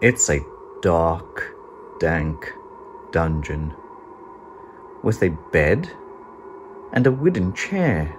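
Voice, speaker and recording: Storybook narrator, medium-pitched, very slow and suspenseful, with big dramatic swings for young listeners. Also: sing-song, slight background noise. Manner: dramatic, suspenseful, deliberate phrasing. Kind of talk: expressive children's read-aloud storytelling.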